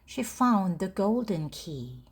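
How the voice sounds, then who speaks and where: Female storybook narrator, unhurried and very animated, slightly husky, for learner read-alongs. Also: medium pitch. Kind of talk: slow read-aloud storytelling for language learners.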